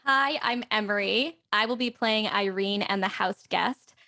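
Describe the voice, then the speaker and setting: A woman's voice: medium pitch, measured pace, gravelly, sharp tone. A woman voice actor chatting casually about herself as a panel guest. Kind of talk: casual chat.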